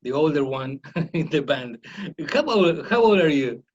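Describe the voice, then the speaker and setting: A man hosting a radio interview in careful, hesitant English full of pauses and "uh"s. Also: a little high, sing-song, husky, dull audio. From Argentina. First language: Spanish. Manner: hesitant, halting English with many "uh"s. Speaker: radio host.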